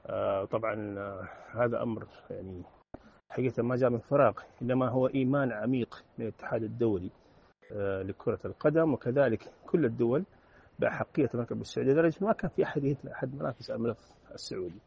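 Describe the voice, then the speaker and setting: A man from Saudi Arabia with a Saudi accent, giving earnest formal commentary as an interview guest and filling pauses with drawn-out "aah" sounds. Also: mid-range voice, gravelly, highs cut off, slight background noise.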